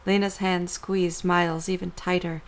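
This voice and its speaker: A woman's voice: on the low side, little pitch movement, moderate pace. A woman reading scripted story narration for an audio drama as the narrator.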